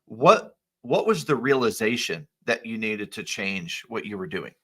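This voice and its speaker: A man hosting a podcast for tradespeople. He introduces the show and asks earnest questions with some halting restarts. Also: fairly low pitch, very animated, fairly bright tone. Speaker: podcast host. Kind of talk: podcast intro and casual interview.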